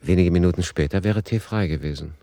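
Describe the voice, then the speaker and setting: A man reading scripted radio drama narration in a formal, matter-of-fact, measured manner. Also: deep voice, warm tone, no room sound, clean studio sound.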